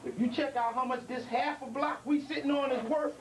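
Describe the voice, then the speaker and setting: A man's voice: very high-pitched, fairly even intonation, fairly quick. A middle-aged man addressing young members at a gathering, preaching with repeated emphasis and street vernacular. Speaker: elder speaker at a community gathering. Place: indoor building. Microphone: handheld microphone. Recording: distant mic with background noise.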